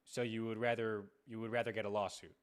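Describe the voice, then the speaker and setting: A man's voice: lowish voice, flat delivery, fast talker, clean tone, lightly acted. Man playing stern, officious American legal bully. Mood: officious, pressing. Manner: officious, pressing, repetitive. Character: stern, bullying adult threatening a kid with lawsuit. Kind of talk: prank phone call.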